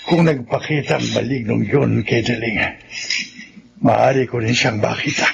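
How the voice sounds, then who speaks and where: Gravelly, defiant old grandfather. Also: medium pitch, normal speed, dull audio, background noise. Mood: grim resolve. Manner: grim, emphatic. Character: defiant, vengeful old grandfather sharpening a blade. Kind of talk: radio horror drama acting.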